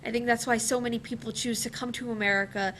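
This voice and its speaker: A woman's voice: a little high, fairly even intonation, quick pace, fairly bright tone, slight background noise. A young woman student answering on a discussion panel, earnest and idealistic, building long emphatic sentences. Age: teens to young adult.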